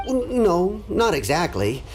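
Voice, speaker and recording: A man playing a candid, thoughtful townsman who shares local gossip in measured phrases in a video game. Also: high-pitched, slight background noise, exaggerated voice. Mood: hesitant, explaining. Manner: candid, hedging, expressive. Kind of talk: video game dialogue acting.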